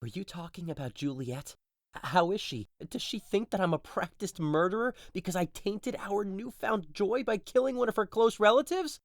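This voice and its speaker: A man's voice: high voice, expressive, moderate pace, dry recording, studio quality. A man playing a lovesick, despairing young man in a modern-language Shakespeare audio drama, melodramatic and anguished. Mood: anxious, guilty.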